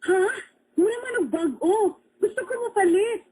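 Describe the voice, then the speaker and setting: A woman's voice: very high-pitched, animated, moderate pace, dark tone, slight background noise. A woman with a Cebuano Filipino accent acting an exclamatory, peppy female character in dramatised radio advertising. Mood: excited. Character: lively, high-voiced female radio commercial character.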